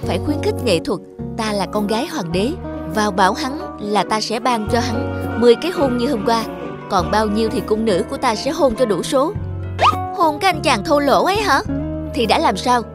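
A woman's voice: on the high side, lively intonation, normal speed, over loud music, natural voice. A woman voicing a haughty, spoiled young cartoon princess in an imperious, exclamatory manner. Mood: haughty, angry.

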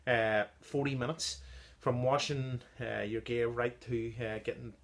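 A man in his thirties talks through a beer he brewed at home, in a relaxed, halting way with frequent ums. He is recorded indoors in a small room on a camera close in front of him. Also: mid-range voice. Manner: chatty, halting with many ums, tasting notes. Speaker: home-brew beer reviewer. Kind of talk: casual beer review vlog. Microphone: device camera at close range.

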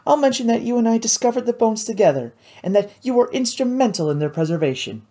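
An audiobook reader voicing a wealthy, eager, well-meaning young woman who is earnest and quick to take offence at unfairness. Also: medium pitch, sing-song, warm tone, voice pushed up. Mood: encouraging.

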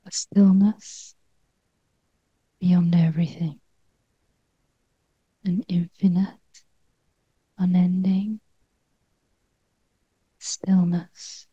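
A woman leading a guided meditation in a gentle near-whisper, with short phrases and long pauses. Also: lowish voice, little pitch movement, breathy edge, no echo, highs cut off.

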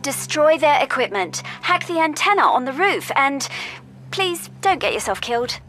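A woman's voice: sits high, sing-song, normal speed, a bit bright, soft background music. A woman voicing a composed, caring mission controller in a video game, earnestly briefing an agent. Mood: concerned, instructing.

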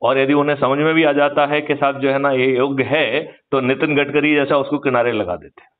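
A man's voice: medium pitch, fairly even intonation, fairly quick. An older man talking persuasively about politics on a phone call, emphatic and lecturing, often asking rhetorical questions for agreement. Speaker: activist, retired police officer. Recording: muffled narrow-band phone call recording.

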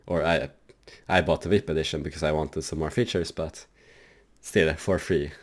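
A man hosting a casual, loose software tutorial for his gaming channel, rambling with lots of fillers. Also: a bass voice, steady tone, moderate pace.

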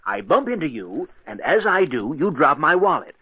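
An actor playing a cocky, impatient diamond smuggler in an insistent, persuasive old-time radio drama style. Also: male voice, a little high, sing-song, normal speed, breathy edge, dry recording. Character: scheming smooth-talking male diamond smuggler, impatient and cocky. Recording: dull, lo-fi old radio recording.

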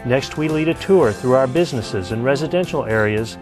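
A man's voice: medium pitch, animated, fairly quick. Male narrator, middle-aged, mid-range voice, scripted community promo, faint guitar bed. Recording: music behind the voice.

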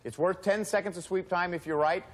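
A middle-aged man hosting a studio game show, talking in a brisk, cheerful, emphatic way. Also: high-pitched, steady tone. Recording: broadcast with loud music and studio audience.

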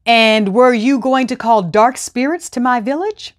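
A woman's voice: a little high, measured pace, voice pushed up, lightly acted. Lady doing a strict village chief guy in a kids folktale, demanding, no nonsense. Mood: questioning. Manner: stern, demanding. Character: stern, orderly male village chief.

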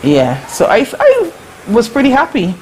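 A woman from Barbados with a Barbadian accent recalls her past in an unhurried, reflective voice, pausing often with fillers. She speaks over a webcam from her living room, with a faint hiss underneath. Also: on the high side, huge pitch swings, a little mellow, rough recording. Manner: slow, reflective, halting with fillers. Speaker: interview guest. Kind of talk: casual recollection. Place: living room at home, indoors. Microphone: webcam on a video call.

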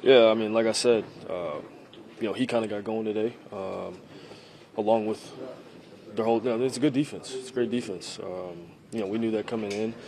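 A young man answering reporters' questions after a game, halting and repeating himself with many fillers, close to handheld mics in a locker room. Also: lowish voice, darkish tone. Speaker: quarterback at a postgame press conference. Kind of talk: postgame press answers. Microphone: handheld reporter mics at close range.

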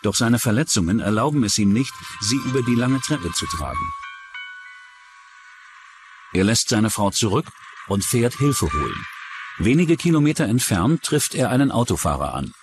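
A man's voice: lowish voice, sing-song, moderate pace. A man reading scripted true-crime narration as a documentary narrator. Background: music.

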